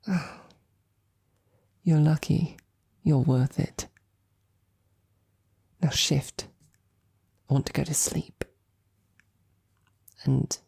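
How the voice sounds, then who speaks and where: Woman acting as female royal knight, intimate audio roleplay, breathy, mellow, loving, gently teasing, hesitant. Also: low voice, full character voice. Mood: teasing, affectionate. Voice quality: soft, breathy, husky. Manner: halting, tender, gently teasing.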